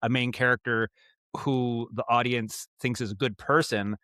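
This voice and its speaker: A man speaks as a guest in a casual interview, his voice at a medium pitch. He rambles thoughtfully, circling back to rephrase his ideas. The sound is dry and free of room echo. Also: fairly even intonation, normal speed, bright, forceful tone. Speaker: podcast guest, writer and audio drama director.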